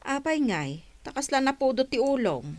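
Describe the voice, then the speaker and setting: Woman playing animated, questioning village woman. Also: high voice, moderate pace, no room sound. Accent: Ilocano Filipino. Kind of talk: Ilocano audio comedy drama acting.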